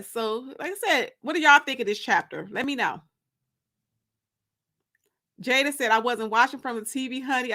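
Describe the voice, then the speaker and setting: A woman's voice: a little high, sharp tone. A woman hosting a casual livestream, chatting informally and gossipily with viewers and repeating phrases for emphasis. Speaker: host of a live-streamed story time.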